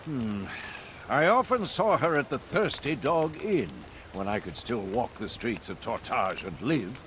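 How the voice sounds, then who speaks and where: Scheming conspirator, lively inflection. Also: male voice, medium pitch, a little slow, a little hiss or hum. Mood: conspiratorial. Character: scheming male fugitive conspirator, cunning and conspiratorial. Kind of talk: fantasy video game voice acting. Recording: faint outdoor game ambience behind.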